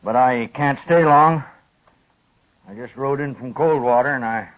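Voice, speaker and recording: A plain-spoken old cattle rancher, played by an actor, blunt and folksy in his phrasing. He speaks at an average male pitch. The recording is muffled, lo-fi radio drama from the 1950s. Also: measured pace, lightly acted. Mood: guarded. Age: older. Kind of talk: old-time radio western acting.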